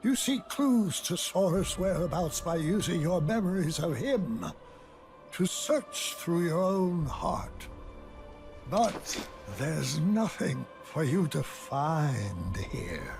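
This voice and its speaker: An ominous, grandiose game villain, speaks with slow deliberation and a creaky edge. He taunts with calm menace and theatrical swoops, over prominent soundtrack music. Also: on the high side, exaggerated voice. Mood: solemn menace. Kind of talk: scripted video game cutscene acting.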